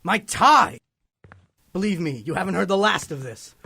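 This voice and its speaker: An actor playing a stern, bossy, indignant government military official in a superhero audio drama. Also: male voice, high-pitched, animated, normal speed, gravelly, pushed and bright, breathy edge, no echo, clean studio sound, exaggerated voice. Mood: indignant, threatening. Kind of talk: fan-made superhero audio drama acting.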